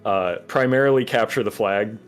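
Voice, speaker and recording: A man chatting as a podcast guest, animated and profane, breaking into loud laughs, recorded on a home microphone. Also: fairly low pitch, moderate pace, smooth, faint music behind. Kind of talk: casual podcast chat.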